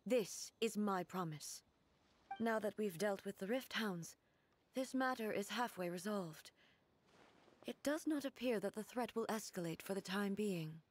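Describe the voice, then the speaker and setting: An actress voicing a solemn, regal female god-ruler in a game, speaking in a measured, formal, grave way. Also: medium pitch, lively intonation. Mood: resolute. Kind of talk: game voice acting.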